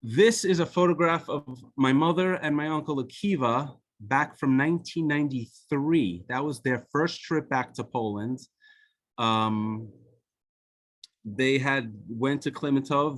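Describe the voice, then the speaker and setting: Guy on a zoom call walking through old photos, talks loose, lots of ums and restarts, mid voice. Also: mid-range voice. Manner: conversational, with frequent fillers and restarts. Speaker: author and guest speaker. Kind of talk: presenting photos on a video call. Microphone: video call.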